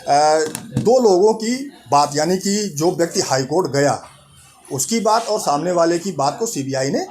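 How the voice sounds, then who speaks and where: Higher-voiced man explaining. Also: animated, darkish tone, soft background music. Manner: deliberate, repeats and rephrases points. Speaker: host of a legal information channel. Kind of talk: explanatory legal talk to camera.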